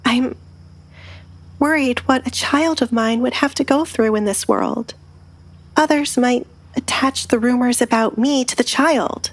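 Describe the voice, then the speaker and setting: A woman narrator voicing an anxious, uncertain young bride-to-be in an audiobook, hesitant and soft. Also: fairly high pitch, measured pace, pitched above the performer's own voice. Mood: worried. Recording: faint background music.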